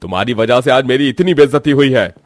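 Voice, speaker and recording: A man's voice: mid-range voice, fast, a little hiss or hum, voice pushed up, natural voice. A man voicing a proud, formal rich father in a Hindi cartoon moral story. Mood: angry. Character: proud rich father, class-conscious businessman.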